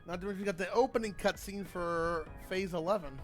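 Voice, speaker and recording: A man's voice: high-pitched, a little fast. A man giving casual livestream commentary as he plays through a game. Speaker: game streamer. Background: game music.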